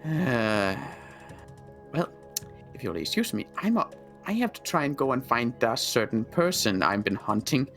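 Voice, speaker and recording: Grudge-holding zombie-world hunter, male player, halting game roleplay delivery, animated intonation, faint music underneath. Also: mid-range voice. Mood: exasperated. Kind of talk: improvised video game roleplay. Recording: background music.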